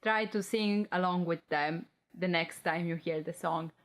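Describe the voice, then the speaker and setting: A woman's voice: fairly low pitch, a little slow. A young woman with an Italian accent, a native Italian speaker, giving a casual, chatty language lesson. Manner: relaxed, chatty, mixes Italian phrases with English explanations. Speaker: language teacher.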